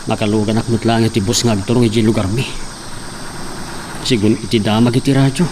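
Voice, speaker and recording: A man's voice: lowish voice, steady tone, brisk, natural voice. A young man playing an earnest son, narrating his story in a plain, earnest way. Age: young adult. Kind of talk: amateur drama acting and narration. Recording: distant mic with clear insect noise.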